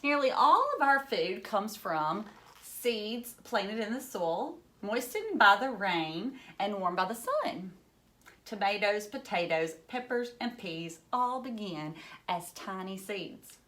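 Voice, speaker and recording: A woman reads a children's book aloud at a slow, unhurried pace, in a mid-range voice. She sounds like a teacher, full of wonder, explaining things simply and chatting to young listeners as she goes. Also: mid-range voice, sing-song, pushed and bright. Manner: enthusiastic, marveling, explains simply with questions to listeners.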